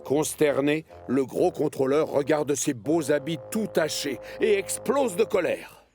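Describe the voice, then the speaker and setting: A man with a slightly high voice tells a children's animated tale as a scripted narration. He is expressive and storyteller-like, with music clearly playing behind him. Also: a little slow.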